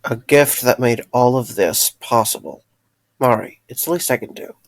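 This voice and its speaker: A man playing a gentle, reassuring former night worker in an amateur audio drama, speaking with a hesitant, stammering delivery. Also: mid-range voice, moderate pace, a little hiss or hum, natural voice. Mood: warm, easygoing.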